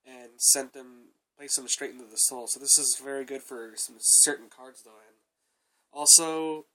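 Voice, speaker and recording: A young man talks through his card deck in a loose, rambling way, tacking "though" and "uh" onto most sentences. He has a cold, which makes his voice sound a bit off. His pitch sits in the middle for a man. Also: fairly even intonation, normal speed, a crowd in the background, low-quality recording. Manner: rambling, repeated fillers like "though" and "uh". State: sick with a cold, coughing. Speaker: card game reviewer. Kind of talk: casual deck review.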